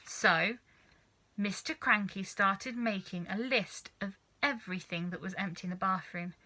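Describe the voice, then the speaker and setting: A woman narrating a children's storybook in an animated reading style. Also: mid-range voice, a little slow. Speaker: storybook reader.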